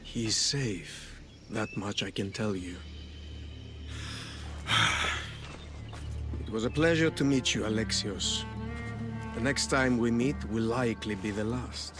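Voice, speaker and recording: An actor playing a coolly menacing, philosophical villain in a video game, measured and theatrical with mocking politeness. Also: male voice, lowish voice, over music. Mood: coolly taunting. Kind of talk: dramatic video game acting.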